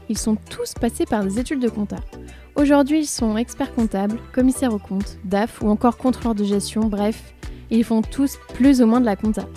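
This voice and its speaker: A woman hosting a podcast, reading a lively intro and then chatting casually with her guest. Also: fairly high pitch. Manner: upbeat, chatty, uses fillers. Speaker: podcast host.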